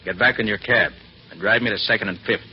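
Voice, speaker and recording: An actor playing a confident, commanding master detective with an assured manner in a 1940s radio drama. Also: male voice, on the low side, fast, natural voice. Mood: hard, threatening. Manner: assured, crisp, authoritative. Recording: dull, noisy 1940s radio recording.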